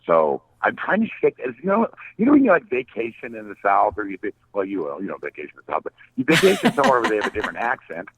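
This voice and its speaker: A man's voice: on the low side, huge pitch swings, fast talker, hardly any pauses, slightly rough, dry recording. A middle-aged man talking as a guest on a casual radio interview, rambling and joking. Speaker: guest paranormal investigator. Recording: tinny phone line, dry.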